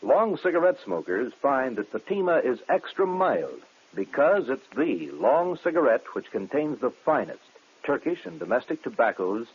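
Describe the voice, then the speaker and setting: A man's voice: mid-range voice, big pitch swings, moderate pace, slightly rough. A man with an American accent reading a scripted advertisement as a 1950s radio commercial announcer. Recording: thin phone-like old radio recording.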